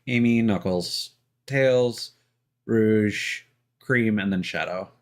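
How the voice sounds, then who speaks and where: A man giving casual live game commentary, rambling and thinking aloud with halting, mid-sentence pauses. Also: on the low side. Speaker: game streamer. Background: video game music.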